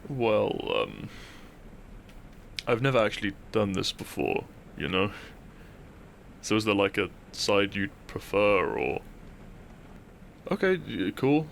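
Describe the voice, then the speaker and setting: A man's voice: fairly low pitch, animated, slow, gravelly, pauses often, fairly bright tone, slight background noise, full character voice. A man playing a depressed, dry-witted young man in an intimate audio roleplay, speaking with hesitant, awkward teasing to his best friend. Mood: shy, teasing.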